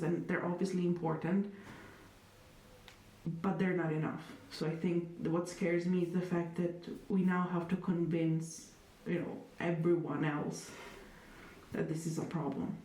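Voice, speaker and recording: Woman speaking in a flat, echoey room. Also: lowish voice. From Italy. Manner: thoughtful, many hedges and fillers, wry humor. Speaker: interviewee. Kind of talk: reflective conversational interview. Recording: echoey room, distant mic, faint noise.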